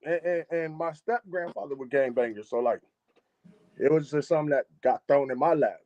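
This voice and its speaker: A man's voice: on the high side, fairly quick, darkish tone, no echo. Laid-back man on a muffled call. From Chicago, United States. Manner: laid-back, repeats and restarts phrases. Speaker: guest, music engineer. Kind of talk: casual interview over a live stream. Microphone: video call.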